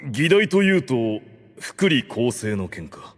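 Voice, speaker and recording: A man's voice: mid-range voice, big pitch swings, slow. A man playing a commanding, pompous undead overlord who is privately flustered, in a Japanese anime audio drama. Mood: guarded. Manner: pompous, lordly. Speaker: ruler addressed by his subordinates. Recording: long hall-like reverb on voice.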